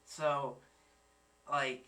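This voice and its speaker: Bright-voiced young man, heard from afar. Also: medium pitch, little pitch movement, distant mic. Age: 20s. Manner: halting, searching for words. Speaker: reaction channel host. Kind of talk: casual chat.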